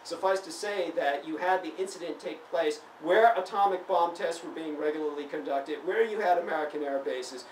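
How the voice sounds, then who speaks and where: A man's voice: fairly high pitch, moderate pace, few pauses. Man born in Taiwan, lecturing style, heated rebuttal, picked up from a distance in a reverberant convention room, faint hiss. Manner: lecturing, grows heated and emphatic. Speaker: interviewee. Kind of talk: monologue answering online comments. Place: convention venue. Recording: roomy, distant mic, faint background noise.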